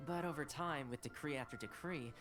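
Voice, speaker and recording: A woman's voice: very deep voice, brisk. A woman playing a courteous, composed and helpful character in a video game, explaining politely. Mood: explanatory. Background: game music.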